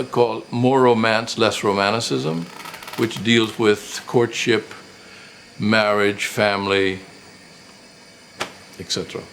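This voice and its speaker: A man's voice: mid-range voice, gravelly, a little hiss or hum, low-quality recording. An older man, a retired professor and writer, reminiscing casually in an interview, rambling and repeating phrases for emphasis.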